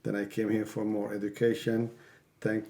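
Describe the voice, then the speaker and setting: A man's voice: lowish voice, completely flat delivery, moderate pace, mellow. A man, a father of four, talking hesitantly and searching for words in a family chat. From Lebanon. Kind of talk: casual family conversation.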